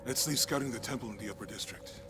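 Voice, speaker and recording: A man voicing a rebel leader who is calm and practical as he plans a rescue. His voice has a medium pitch and sounds even. Strong music plays beneath him in a game cutscene. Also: fast, natural voice. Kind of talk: video game cutscene acting.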